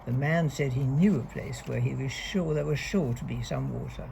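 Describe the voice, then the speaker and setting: A woman reading a picture book aloud to young children, deliberately and expressively, leaning on key words. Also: a bass voice, creaky, dark tone, a little hiss or hum. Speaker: storybook reader.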